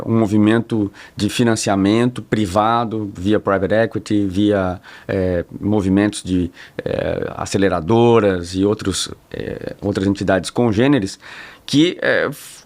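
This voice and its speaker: A man from Portugal answering interview questions as a guest, speaking in a measured, formal way. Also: fairly low pitch. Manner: measured, formal, careful wording. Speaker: guest lawyer and professor.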